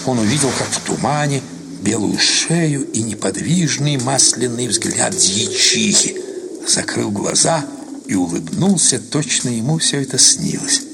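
A man narrating a literary short story for radio drama in a measured, expressive storytelling manner. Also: mid-range voice, creaky, noisy background. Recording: loud music under the narration.

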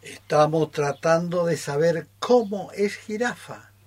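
A man's voice: on the high side, slow pace, a little mellow. A man playing a polite, inquiring deer in a children's radio play. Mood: polite, earnest.

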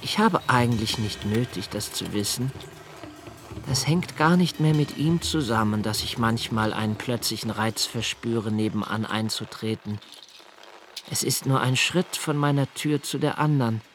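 An actor playing a brooding, solitary male lodger who muses precisely and haltingly in a literary radio play monologue. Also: medium pitch, background noise, natural voice. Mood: quiet, pensive. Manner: deliberate, precise, halting self-corrections. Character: brooding, obsessively observant solitary male lodger, musing alone.

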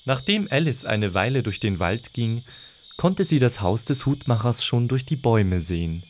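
A man's voice: medium pitch, expressive, moderate pace, slight background noise. A man narrating a scripted fairy tale as the storyteller of an audio drama.

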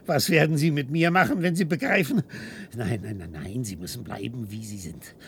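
An actor playing an obsessive, tormented scientist in a German radio play, theatrical and halting, moving between hushed whispers and outbursts. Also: male voice, mid-range voice, creaky, a little hiss or hum, natural voice. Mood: panicked, defiant. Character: obsessive, tormented male linguist scientist, desperate and defiant.

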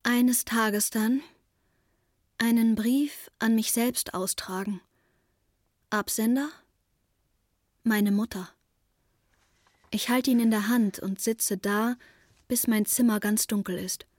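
A woman's voice: on the high side, steady tone, slow pace, pauses often, a little mellow, no room sound, studio quality. An actress playing a restless, introspective woman in her twenties, narrating a radio drama as an intimate inner monologue. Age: 20s.

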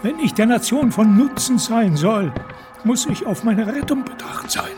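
Hoher, drängender Ehemann. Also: male voice, very high-pitched, expressive, measured pace, music in the background. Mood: urgent, pleading. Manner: urgent, pleading. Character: anxious, urgent husband, a fleeing politician.

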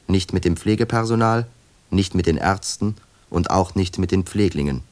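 A man's voice: deep voice, normal speed, darkish tone, lightly acted. A man narrating a radio play in a sober, detached manner with long winding sentences.

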